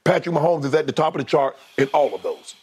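A man's voice: a little high, lively intonation, fast talker, a little mellow, a little hiss or hum. A man in a sports debate, emphatically listing statistics to make his case and laughing often. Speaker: co-host.

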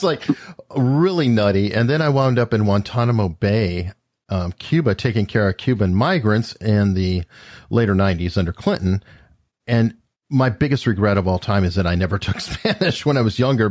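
A man's voice: lowish voice, a little mellow, dry recording, studio quality. A man from Arizona hosting an interview, asking questions hesitantly with restarts and light laughs. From Tucson, Arizona, USA. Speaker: podcast host.

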